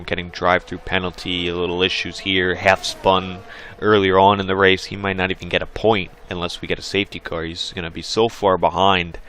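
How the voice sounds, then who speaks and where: A man commentating a sim racing league race in a casual, chatty way, his voice worn and hoarse. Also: low-pitched, pushed and bright. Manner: chatty, many fillers. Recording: game engine sounds and music behind.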